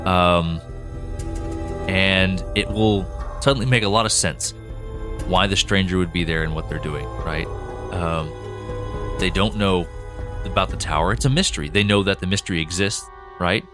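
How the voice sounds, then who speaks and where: A man with a lowish voice chats casually as a podcast co-host, laughing often and tossing in quick character voices. Light music plays faintly under the talk. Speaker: podcast co-host and show creator. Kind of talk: casual behind-the-scenes chat.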